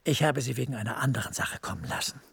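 An actor playing a smooth, persuasive senior EU official who speaks in a measured way. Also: male voice, mid-range voice, fairly bright tone, heavily acted. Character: smooth senior EU director, middle-aged man, persuasive.

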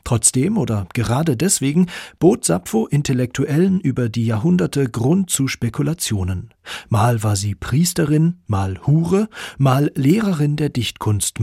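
A man with a slightly husky voice narrating a scripted radio feature in an articulate, clearly structured way. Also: mid-range voice, dark tone, dry recording, studio quality. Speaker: radio documentary narrator and reporter. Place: dry studio.

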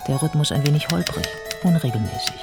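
A man giving a scripted radio play narration in a measured, deliberate, descriptive manner. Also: a little high, animated, gravelly, loud music behind the voice.

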